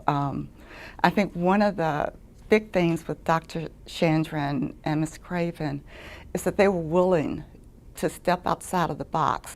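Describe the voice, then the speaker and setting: A middle-aged woman, giving an earnest personal testimonial as a patient in measured words. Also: low-pitched.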